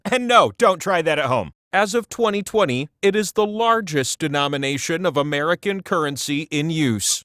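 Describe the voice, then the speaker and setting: High, animated, vain game-show wheel. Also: male voice, no room sound, studio quality. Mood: wry, chatty. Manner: pompous, chatty, theatrical, with comic asides. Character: giant sentient game-show wheel, vain, playful self-styled ageless deity. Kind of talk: theatrical comic quiz-show hosting.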